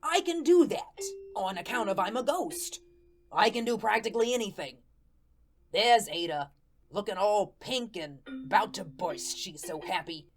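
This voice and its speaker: A woman's voice: mid-range voice, expressive, a little slow, faint music behind, close to an everyday voice. A woman playing a naive, lovelorn male ghost who narrates his story in folksy, slangy talk, in the style of a radio play. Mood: wistful, earnest. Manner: folksy, colloquial, guileless storytelling.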